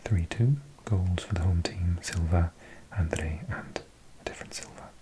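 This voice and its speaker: A Welsh man in his forties, whispering softly as an ASMR host, slowly reading out a list of results with chatty asides. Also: sits low, very noisy. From Wales.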